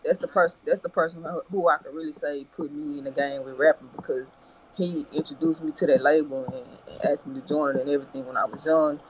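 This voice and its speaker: A man from Beaumont, a rapper talking as an interview guest in a laid-back, unhurried way. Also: fairly high pitch, steady tone. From Beaumont, Texas. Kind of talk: casual interview. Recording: dull remote call line with faint noise.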